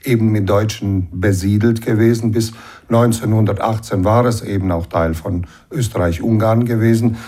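A man in his sixties from the Banat in western Romania, explaining and recollecting as an interview guest in a measured way, often adding a short tag to his sentences. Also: lowish voice, warm tone. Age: 60s.

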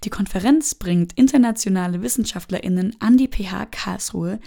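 A woman hosts a campus podcast and reads her event tips from a script. Her voice is low-toned and mellow, with some vocal fry. She sounds upbeat and reads clearly. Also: normal speed. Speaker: podcast host.

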